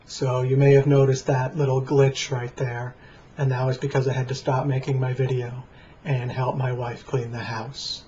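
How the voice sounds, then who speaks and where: Flat, deadpan American man, dull sound. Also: mid-range voice, normal speed. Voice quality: flat, plain voice. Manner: deadpan, dry. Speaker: vlogger. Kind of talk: casual rambling talk to camera. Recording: dull lo-fi webcam sound, faint noise.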